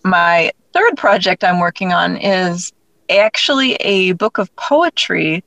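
A woman's voice: lowish voice, sing-song, takes it slowly, vocal fry, a bit bright, no echo. A woman speaking casually as an interview guest, chatty and self-deprecating as she talks about her own work as an author. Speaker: interview guest, author and audio drama creator.

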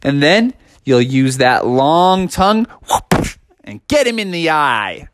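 A man acts out a loyal coach and buddy from a storybook boxing tale. He gives slangy, encouraging instructions with lively pitch movement, recorded close and dry. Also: slow pace, smooth, dry recording, full character voice. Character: laid-back frog's boxing coach, a strategizing loyal buddy.

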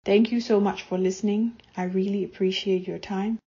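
A woman's voice: medium pitch, steady tone, moderate pace, warm tone, muffled recording. A woman from Myanmar with a Burmese accent, a native Burmese speaker, explaining her work as a guest poet. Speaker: guest poet and visual artist. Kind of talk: explanatory talk introducing own work.

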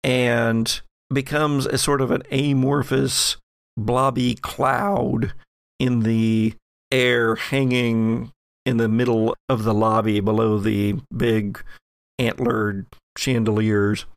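A man acting as game master, narrating an improvised horror tale in a measured way with dramatic pauses. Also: medium pitch, level tone, gravelly, clear, resonant tone, no room sound, clean studio sound.

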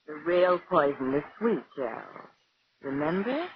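An actress playing a cool, scheming wife in a 1940s radio drama. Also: female voice, low voice, very animated, a little slow. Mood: cool, taunting. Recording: muffled old radio broadcast, hiss.

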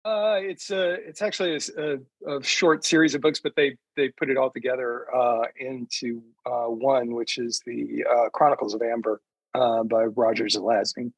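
A man guesting on a podcast, chatting casually in a halting, hesitant way. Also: medium pitch, fairly even intonation, breathy edge, no echo. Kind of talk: casual chat. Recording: dull, band-limited audio.

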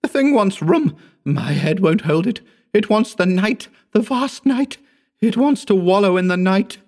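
A man's voice: sits high, expressive, measured pace, darkish tone, pitched above the performer's own voice. A man voicing a tormented, half-mad wounded man in terror, wailing shrilly and melodramatically in a horror audiobook. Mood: frantic. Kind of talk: dramatic horror audiobook character reading.

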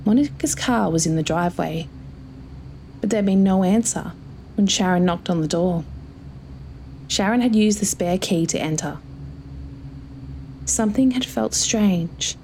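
A woman's voice: fairly low pitch, mellow, a little hiss or hum. A woman reading a scripted story as its narrator.

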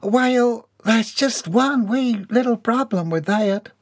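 High, shrill servant woman, slow wailing lines, dry close sound. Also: no echo. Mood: eager.

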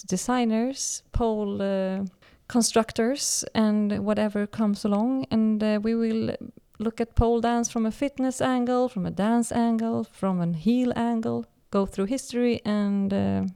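A woman's voice: on the high side, fairly even intonation, measured pace, hardly any pauses, mellow, clear, resonant tone. A woman in her forties from Sweden, speaking English with a Swedish accent as she hosts a casual podcast chat and leads the talk. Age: 40s. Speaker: podcast host.

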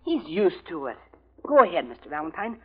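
A woman's voice: on the low side, big pitch swings, fairly quick, husky. A woman acting an eager, cheerful boy who gives earnest encouragement in an old American radio comedy. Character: eager, cheerful boy teaching horse riding. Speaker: actor in a radio comedy-mystery. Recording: muffled, noisy vintage radio recording.

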